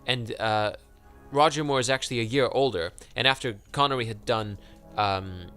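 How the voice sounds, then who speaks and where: A man co-hosting a casual film podcast, talking at length with many pauses and fillers. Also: medium pitch, fairly bright tone, smooth, faint music behind. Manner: rambling, hesitant with many fillers. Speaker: podcast co-host. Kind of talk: casual chat about films.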